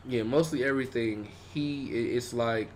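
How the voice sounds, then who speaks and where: Lively Southern rapper, faint hiss. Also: male voice, normal speed. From Houston, Texas. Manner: animated storyteller, acts out quotes in a higher voice. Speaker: rapper guest. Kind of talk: casual podcast interview.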